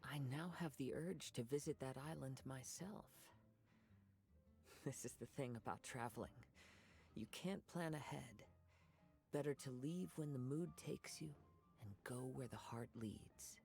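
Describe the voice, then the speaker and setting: An actor playing a gentle, poetic young wanderer in a video game, speaking in a soft, reflective way. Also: male voice, medium pitch, creaky, soft background music. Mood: calm, musing. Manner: unhurried, reflective, soft-spoken.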